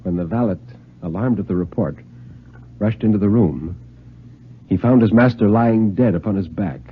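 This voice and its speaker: A man giving a theatrical, deliberate dramatic story reading with precise diction, in 1950s radio style. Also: fairly low pitch, background noise. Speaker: dramatic reader on a radio show. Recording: muffled vintage radio recording, music.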